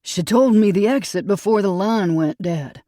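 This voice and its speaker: A grieving gray-haired husband with a thick Texas drawl, as an audiobook narrator puts him on, his words pained and halting. The voice sits in a middle register, recorded close and dry with no room sound. Storybook crime drama. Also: mid-range voice, mellow, no echo, voice pushed up, natural voice. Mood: distraught. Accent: Texas drawl (as played).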